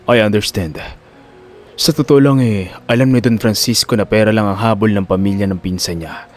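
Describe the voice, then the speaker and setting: A man playing a calm, serious male confidant in a Filipino audio drama, speaking in a measured, reassuring way. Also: lowish voice, slight background noise, voice pushed down, lightly acted. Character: Rocky, calm serious confidant guarding an old don.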